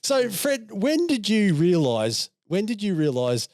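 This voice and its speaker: A man's voice: high voice, very animated, measured pace, darkish tone, dry recording. An Australian man presenting and interviewing in an upbeat style as a podcast host in a studio.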